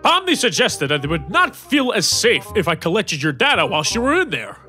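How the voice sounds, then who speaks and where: A flamboyant cartoon ringmaster makes grand, theatrical announcements. The voice is bright and forceful and swoops wildly in pitch, with music behind it. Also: male voice, wildly swooping pitch, a little fast, heavily acted. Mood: brisk, cheerful. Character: flamboyant, manic male ringmaster of a digital circus. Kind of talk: cartoon comic dub.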